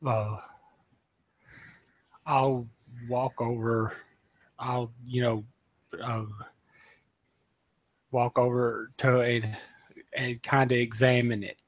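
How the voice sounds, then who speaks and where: A man's voice: mid-range voice, little pitch movement, very slow, pauses often, dry recording, low-quality recording. A man with a mid-range voice speaks casually, checking details and asking questions. The recording is close and dry. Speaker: player. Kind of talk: tabletop game play.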